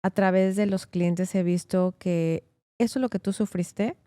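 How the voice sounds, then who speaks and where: A woman's voice: medium pitch, fairly even intonation, unhurried, warm tone, dry recording. A woman lawyer hosting an interview, chatty and encouraging, often tagging her sentences with "¿no?". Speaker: host, immigration lawyer. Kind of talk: casual interview conversation.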